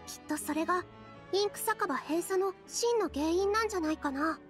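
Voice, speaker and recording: アニメ調ゲームで、女性声優が演じる若い森の妖精。柔らかく優しい、ひたむきな話し方。. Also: female voice, extremely high pitch, moderate pace, soft background music. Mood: gentle, earnest. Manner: soft, gentle, earnest. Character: gentle young female forest fairy, kind and earnest. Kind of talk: anime video game voice acting.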